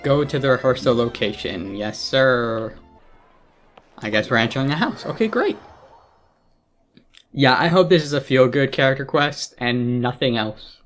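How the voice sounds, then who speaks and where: A man's voice: medium pitch, a little slow, long pauses, fairly bright tone. A man doing casual playthrough commentary as a gaming streamer, reading dialogue choices aloud. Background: game music.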